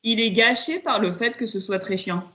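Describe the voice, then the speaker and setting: A woman's voice: on the high side, normal speed, fairly bright tone. Blunt, swearing woman on a podcast. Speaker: podcast co-host. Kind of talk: casual chat.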